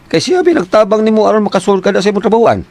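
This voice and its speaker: An actor playing a middle-aged father of grown twins who teases his daughter in lively banter. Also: sits high, racing. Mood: teasing.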